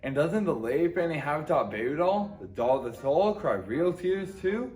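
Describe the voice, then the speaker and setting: A man's voice: fairly high pitch, very animated, moderate pace, a little mellow, faint music behind. An actor playing a cheery, folksy male Santa's helper and salesman in an old-time radio advertisement. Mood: cheery, salesy.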